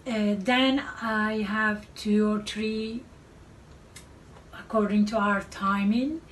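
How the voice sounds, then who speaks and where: A middle-aged woman with a Turkish accent presents her art in a slow, unhurried way. She often stops to search for the right word. She sounds far from the microphone in a cluttered studio, with faint room noise behind her. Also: mid-range voice, steady tone. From Turkey. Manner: slow, halting, searching for words. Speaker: artist. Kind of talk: artist's presentation to camera. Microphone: far camera.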